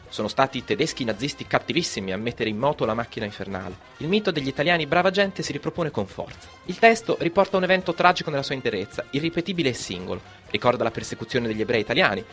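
A man's voice: mid-range voice, a bit bright. A young man from Italy co-hosting a history radio segment, reading scripted commentary in a rhetorical manner. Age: young adult. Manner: rhetorical, asks questions.

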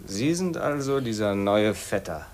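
A man's voice: on the low side, animated, unhurried, darkish tone, slight background noise. A man acting a drunken, hot-tempered young cousin in a 1950s German radio drama, insolent and blustering. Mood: hostile. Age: young adult.

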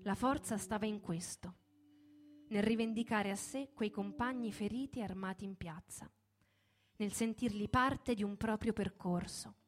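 A woman's voice: mid-range voice, normal speed. A woman reading a dramatic scripted narrative in a radio theatre performance. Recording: music playing behind the voice. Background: music.

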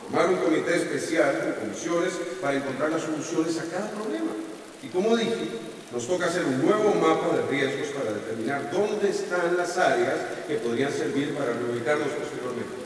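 An actor playing a male town mayor with a Costa Rican accent, insistently pleading for calm at a meeting in a radio drama. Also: a little high, fairly quick, slight background noise, close to an everyday voice. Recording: echoey hall, voice from far off.

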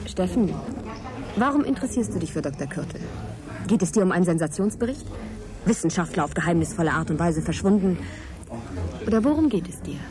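Female actor for radio play scientist: sceptical, direct, brisk conversational delivery against busy café chatter. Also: on the low side, lively intonation, natural voice. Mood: probing, frank. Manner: guarded, direct, questioning. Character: guarded divorced female scientist, sceptical, frank, wary. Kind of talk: naturalistic radio drama dialogue. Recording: crowd chatter behind, café scene. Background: café crowd chatter.

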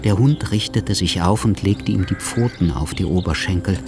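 A man narrating a radio drama in a measured, sober, scripted style. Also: deep voice. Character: sober male narrator of a political assassination drama. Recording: music behind the voice.

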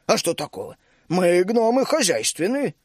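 A man's voice: sits high, sing-song, moderate pace, no room sound. Placid bald gnome husband, chuckling and homely, voiced by a male reader; dry close sound. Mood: easy.

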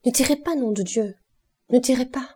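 A hoarse, raving man lost in delirium, put on by a woman reader, yelling curses in a raised, strained voice. He is loud and frantic, his voice climbing above the reader's own. It sounds like a dramatized audiobook. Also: high voice, moderate pace, voice pushed up. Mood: panicked. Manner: raving, shouting, cursing. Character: delirious shouting male roofer, raving drunk.

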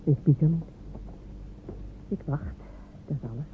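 A woman's voice: sits low, a little slow. A woman playing a proud, loyal fisherman's wife who is waiting for her husband, speaking firmly in a radio play. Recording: narrow phone-like radio recording with faint hiss.

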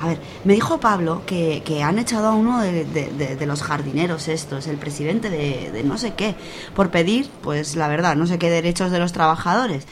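A woman's voice: lowish voice, animated, moderate pace, lightly acted. A young woman acting a sensible, good-natured, patient friend in an amateur radio soap, conversational and mildly exasperated. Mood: earnest, exasperated. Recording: clear background noise.

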